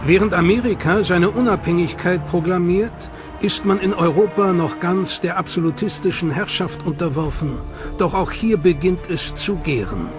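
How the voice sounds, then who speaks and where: A man giving measured, scripted narration for a history documentary. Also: sits high. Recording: music bed under voice.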